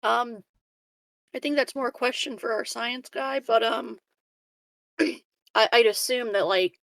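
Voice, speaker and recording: A woman's voice: fairly high pitch, slow pace, vocal fry, a bit bright, dry recording. A woman playing a tabletop roleplaying game, casually improvising an in-character answer.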